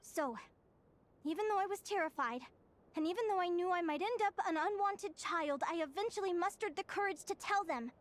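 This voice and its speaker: A woman playing a young fae girl in an English anime-style game, earnest and vulnerable yet brave, with emotional, halting sincerity. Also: very high voice, a little hiss or hum. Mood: reflective, resolute.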